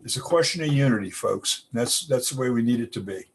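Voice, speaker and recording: A man's voice: mid-range voice, animated, a little fast, husky. A man hosting a casual online community chat, talking in a folksy, rambling way with restarts. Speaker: host.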